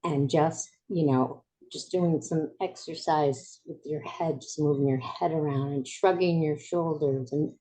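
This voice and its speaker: A woman in her seventies hosts a podcast interview. Her voice sits low and sounds soft and dark. She talks in a chatty way and laughs easily at herself. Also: steady tone, unhurried, few pauses, low-quality recording. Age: 70s. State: recovering from voice loss. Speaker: podcast host.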